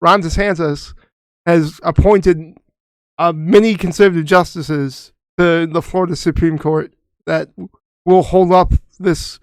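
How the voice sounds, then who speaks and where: Young male co-host, earnest and halting, slightly high voice, dry close sound. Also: steady tone, clear, resonant tone, dry recording, poor audio. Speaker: podcast co-host. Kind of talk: casual chat.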